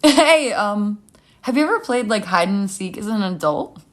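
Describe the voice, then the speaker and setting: Woman as young female schemer, desperate, halting slangy speech, lively pitch, audio story. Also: close to an everyday voice. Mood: awkward, sly.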